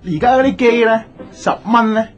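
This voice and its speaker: An actor playing a cheeky young man who bickers with friends, sarcastic and excitable, with a Hong Kong Cantonese accent in a comic radio drama. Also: extremely high pitch, moderate pace, highs cut off. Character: cheeky, bickering young male friend, mock-indignant wisecracker.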